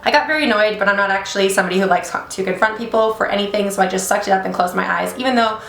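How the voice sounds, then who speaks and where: A woman's voice: mid-range voice, very fast, gravelly, a bit bright, echoey room. A young woman hosting a beauty channel, chatting casually and reading a story aloud, with frequent swearing in her asides. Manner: chatty, swears freely in reactions.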